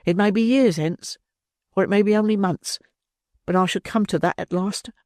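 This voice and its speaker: A woman's voice: mid-range voice, normal speed, darkish tone, no room sound, clean studio sound, pitched above the performer's own voice, natural voice. A woman voicing a desperate, frightened young woman of the streets in an agitated, pleading way.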